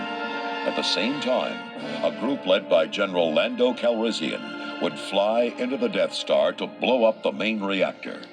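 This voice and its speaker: A man narrates an adventure storybook from a script. His voice is deep, and loud music runs beneath it throughout.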